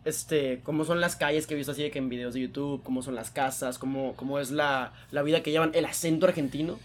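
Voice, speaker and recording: A young man from Mexico, chatting casually as a podcast host, slangy and animated. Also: on the high side, a little hiss or hum. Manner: slangy, animated, fast storytelling. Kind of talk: casual chat.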